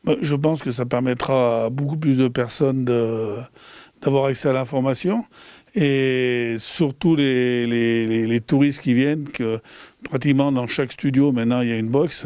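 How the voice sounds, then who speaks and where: A man's voice: medium pitch, fairly even intonation, a little mellow, clear, resonant tone, no room sound. Brief: male local official, medium voice, hesitant repetitions. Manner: halting, repeats words. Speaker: local community council president. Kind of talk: interview statement.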